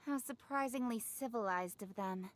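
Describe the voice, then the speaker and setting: A woman's voice: on the high side, moderate pace, lightly acted. A woman voicing a self-assured, outspoken young noblewoman official in a video game, composed, formal and sardonic. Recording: music behind the dialogue.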